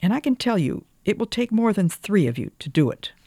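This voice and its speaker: A woman's voice: low-pitched, animated, moderate pace, a little mellow, no echo, studio quality. A woman voicing a passionate, wounded young wife in an old-fashioned storybook, with emphatic, pleading delivery. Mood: indignant, passionate.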